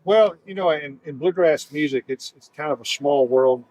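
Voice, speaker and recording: A man's voice: a little high, normal speed, a little mellow, no room sound, lo-fi sound. A man who leads a band and sings lead, answering interview questions in an easygoing way full of "you know". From northern Alabama. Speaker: band leader and lead singer.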